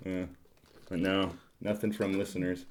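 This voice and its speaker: A man's voice: sits low, flat delivery, normal speed, slight background noise. A man hosting a podcast in casual, joking conversation. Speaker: podcast host.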